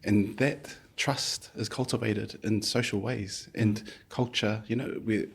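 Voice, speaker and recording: A middle-aged man from New Zealand, a physiotherapist guest speaking thoughtfully in an interview, with many pauses and restarts. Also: fairly low pitch, steady tone, creaky, slightly rough. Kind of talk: reflective conversational interview.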